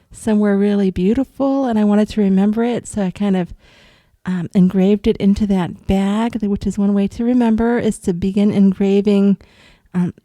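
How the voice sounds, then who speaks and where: Dark-voiced woman with a cold. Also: medium pitch, no echo, clean studio sound. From upstate New York. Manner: rambling, thinks aloud with ums and soft laughs. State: has a cold. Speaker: vlogger recounting astral travel. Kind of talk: casual storytelling to viewers.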